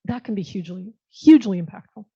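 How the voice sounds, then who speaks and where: An American woman with a mellow, warm voice gives earnest, reflective answers as a guest speaker. She often restarts her phrases as she thinks. The recording is dry and a little dull. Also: mid-range voice, expressive, quick pace, slightly rough, dry recording. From United States. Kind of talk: conversational answers at a panel Q&A. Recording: muffled dull sound.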